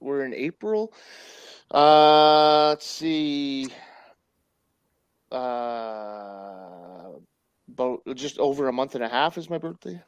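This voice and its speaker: A man's voice: mid-range voice, slow, long pauses, clear, resonant tone, muffled recording. A man in his late thirties hosting a casual online panel, laid-back and wry. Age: 30s. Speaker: host.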